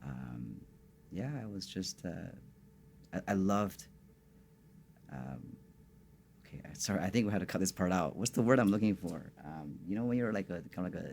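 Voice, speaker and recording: A man's voice: low voice, animated, moderate pace, creaky, pauses often, a little mellow, slight background noise. A man from Northern China, a guest in a podcast studio, talking casually and often searching for words. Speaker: guest, tea bar co-founder. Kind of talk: casual interview chat.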